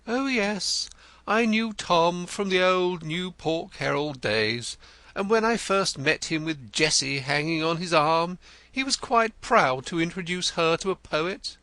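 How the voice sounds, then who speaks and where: A vain, effusive poet in a storybook reading, as a male narrator puts him on, gushing in a high voice that leaps up and down. He is florid and stammers when pressed, with a bright tone. The sound is dry and close. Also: lively intonation, unhurried, dry recording, heavily acted. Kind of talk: audiobook character reading.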